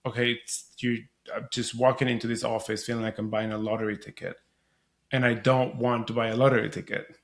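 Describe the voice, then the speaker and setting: A man's voice: medium pitch, flat delivery. Man. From Venezuela. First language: Spanish. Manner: earnest, candid, halting restarts. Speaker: podcast guest, surrogacy community co-founder. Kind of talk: casual conversational interview.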